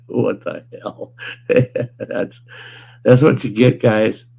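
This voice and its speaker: A man hosting a casual livestream from home, hoarse with a weakened voice, rambling in a laid-back way and chuckling often. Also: medium pitch, drawn-out words, warm tone. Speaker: livestream host. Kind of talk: casual livestream chat reading viewer comments.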